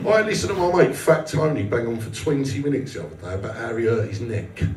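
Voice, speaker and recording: Animated comedian, roomy club sound. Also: male voice, medium pitch, fast. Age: 40s. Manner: sweary, blunt, chatty, self-deprecating. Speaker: stand-up comedian. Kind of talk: live stand-up comedy with crowd banter. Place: small indoor comedy venue with a live audience. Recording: roomy live club, distant mic, audience noise.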